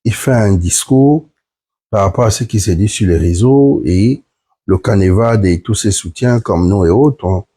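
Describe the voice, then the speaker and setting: Guy on a live stream, husky and a bit under the weather, dark mellow voice. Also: lowish voice, a little slow. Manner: earnest, explains point by point, addresses viewers directly. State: sick with flu, voice affected. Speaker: platform member hosting a live stream. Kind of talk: live stream address to viewers.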